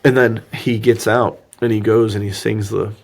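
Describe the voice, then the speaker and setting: A man's voice: lowish voice, level tone, normal speed, vocal fry. A man chatting casually and jokingly as a podcast host, recapping a film in slangy, loose language. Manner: slangy, jokey, acts out dialogue mockingly. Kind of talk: casual movie recap chat.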